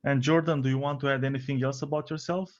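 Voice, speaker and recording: A man's voice: mid-range voice, steady tone, fast talker. Man hosting a podcast interview. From Romania. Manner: friendly, laughs often, fillers. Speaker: podcast host. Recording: slightly dull lo-fi audio.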